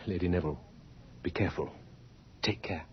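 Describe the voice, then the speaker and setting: An actor playing a courtly, devoted gentleman confidant in an old-time radio drama, formal and solicitous. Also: sits low, fairly quick, husky. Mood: wary, courteous. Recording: dull old radio recording under music.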